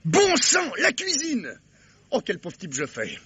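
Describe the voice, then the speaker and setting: An actor playing an excitable, folksy detective sidekick who stammers and repeats himself in a vintage French radio drama. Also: male voice, extremely high pitch, slightly rough, no room sound, heavily acted. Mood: musing, then excited. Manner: halting, stammering repetitions.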